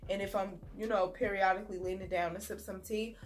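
A woman's voice: medium pitch, soft background music. A young woman with a cold, her voice throaty and sniffly, chatting casually to camera in a small room as she reviews a wig. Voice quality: sniffly, husky from a sore throat. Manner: chatty and relaxed, drawn-out words, many "um"s. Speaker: beauty vlogger.

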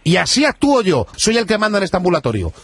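A man from a radio prank playing a bossy, dismissive clinic manager with a Spanish accent from Spain, condescending and quick to cut in. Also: high voice, wildly swooping pitch, husky, no echo, full character voice. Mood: defensive, bossy. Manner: condescending, provoking, talks over people.

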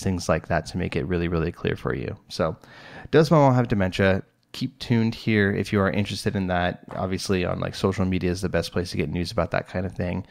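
Deep gravelly man hosting a podcast. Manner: relaxed, rambling with frequent fillers and restarts. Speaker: podcast host, psychologist. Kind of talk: casual solo podcast talk.

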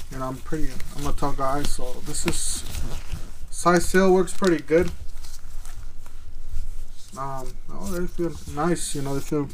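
A man's voice: fairly high pitch, slight background noise, low-quality recording. A man in his thirties casually reviewing a product at an office desk, rambling with lots of ums and restarts. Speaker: tool reviewer. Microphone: clip-on camera mic.

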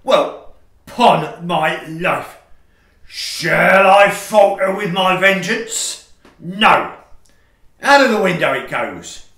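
A man's voice: high-pitched, animated, very slow, pauses often, sharp tone, recorded from a distance, full character voice. A man playing a grumbling, indignant Victorian printer in an audio farce, with grandiloquent, plaintive delivery. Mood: startled, vengeful. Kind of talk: theatrical farce acting for audio play. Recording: roomy echo, away from the mic.

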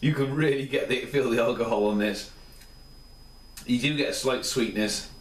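A man's voice: mid-range voice, normal speed, far from the mic, a little hiss or hum. A tired man in his thirties, rambling casually and enthusiastically through a review in his small home kitchen. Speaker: beer reviewer. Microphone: camera mic at a few steps. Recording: roomy, off-mic home camera sound.

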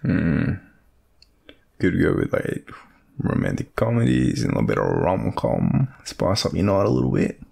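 A man playing a shy, gravelly, deep-voiced Australian friend. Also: wildly swooping pitch, mellow, full character voice. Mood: bashful, relaxed. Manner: hesitant, halting, chuckling, stammering. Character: shy, easygoing young man smitten with his friend. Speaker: audio roleplay performer. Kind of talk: intimate boyfriend-style audio roleplay.

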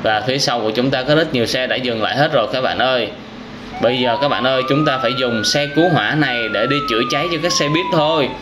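A man's voice: mid-range voice, huge pitch swings, fast talker. A young man with a southern Vietnamese accent giving casual, exclamatory reaction commentary as a gaming host, speaking straight to young viewers. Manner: exclamatory storytelling with frequent wows and addresses to viewers. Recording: clearly noisy with game vehicle sounds and sirens.

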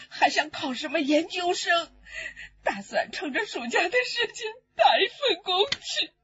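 悲痛哽咽、嗓音很高的农村母亲. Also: female voice, very high voice, pushed and bright, lo-fi sound, heavily acted. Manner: halting, tearful, drawn-out sighing speech. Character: grieving rural mother of a murdered student. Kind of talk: radio drama acting.

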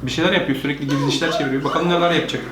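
A man's voice: a little high, steady tone, rapid-fire, background noise. Man reacting casually, roomy sound. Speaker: host, father reacting with his child. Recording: roomy home recording, music behind.

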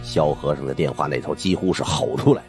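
A man narrating a suspenseful audiobook story in an expressive, dramatic first-person style. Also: a bass voice, brisk. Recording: faint music behind the voice.

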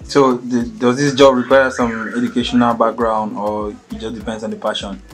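A man's voice: medium pitch, little pitch movement, normal speed, music in the background. Man asking plain questions. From Cameroon. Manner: simple, direct questions. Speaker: interviewer.

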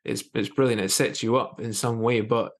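A man in his thirties with a middle-pitched voice hosts a podcast. He speaks in a casual, conversational way over a video call from an indoor room. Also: steady tone, moderate pace. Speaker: podcast host. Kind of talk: casual interview chat. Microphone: wired earphones on video call.

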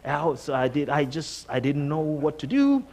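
Male guest preacher, Nigerian accent, high-pitched voice, conversational sermon style with animated, emphatic storytelling. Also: normal speed. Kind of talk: conversational sermon with personal anecdotes. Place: church sanctuary, on stage before a congregation.

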